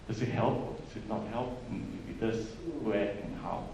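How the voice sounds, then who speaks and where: A man's voice: on the low side, normal speed, gravelly, background noise. A man, putting questions to a panel in a jokey way. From Malaysia. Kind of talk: panel discussion. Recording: echoey hall, distant mic.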